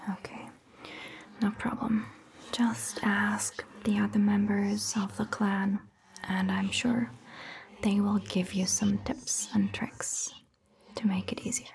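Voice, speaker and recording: Brief: woman for ASMR vampire doctor, hushed gentle whisper, unhurried, with a creaky edge, faint noise behind. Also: medium pitch. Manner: soft whispering, gentle and reassuring. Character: calm, caring female vampire doctor. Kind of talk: ASMR roleplay, whispered medical exam. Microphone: close ASMR microphone.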